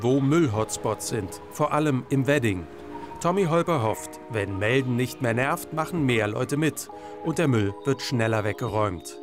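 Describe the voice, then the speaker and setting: A man delivers scripted voice-over for a news report. His voice sits in a middle register, with music clearly playing underneath. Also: medium pitch. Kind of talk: scripted narration. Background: background music.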